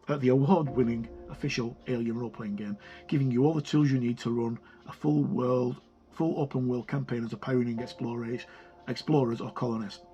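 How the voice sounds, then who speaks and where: A man giving a casual, halting review of a tabletop game product in an informal way. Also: mid-range voice, mellow, lo-fi sound. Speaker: video reviewer. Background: music.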